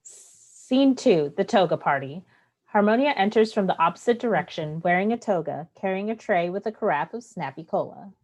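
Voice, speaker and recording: A woman's voice: medium pitch, lively intonation, moderate pace, darkish tone. A woman reading stage directions aloud as the narrator of a play, in scripted narration.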